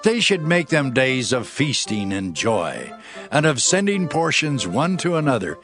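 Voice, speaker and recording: Decree: formal, lively pitch, clear music underneath. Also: male voice, unhurried. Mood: proclaiming. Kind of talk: dramatized scripture reading.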